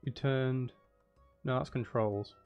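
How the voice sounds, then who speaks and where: A man chats casually to his livestream with faint music playing behind him. His mid-pitched voice rambles along in a self-deprecating manner, as a game streamer talking through what he is doing. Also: fairly even intonation, slow, highs cut off. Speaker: game streamer and audiobook narrator.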